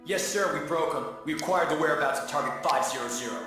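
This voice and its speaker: A man playing a brisk soldier reporting to command in a video game, with a clipped military delivery. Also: on the high side, lightly acted. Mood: dutiful, brisk. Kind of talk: dramatic video game acting. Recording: very echoey, reverberant, music behind.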